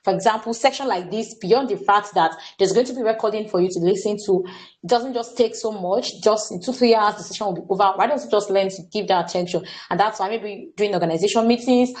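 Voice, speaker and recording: Brief: young Nigerian woman, workshop facilitator, earnest, mid-pitched, stumbles on words and restarts. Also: a little mellow. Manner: earnest, repeats words and says "what's it called" often. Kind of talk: staff training talk.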